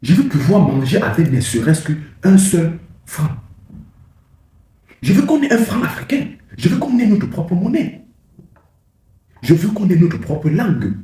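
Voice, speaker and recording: Passionate guy ranting to his channel, voice swings all over, mellow tone, roomy echo. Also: high voice. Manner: rhetorical, repeats phrases, rises to emphatic peaks. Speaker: channel host giving political commentary. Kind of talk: impassioned speech to camera.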